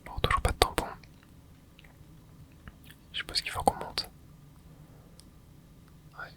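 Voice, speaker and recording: A host whispering casual ASMR commentary over gameplay, reacting excitedly and chatting to viewers. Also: slow pace. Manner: casual, chatty, self-correcting. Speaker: channel host.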